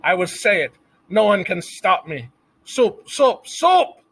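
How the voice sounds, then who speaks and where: A man's voice: moderate pace, a bit bright. A man voicing a distraught female cook who wails and sobs. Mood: wailing. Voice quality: high, wailing, sobbing.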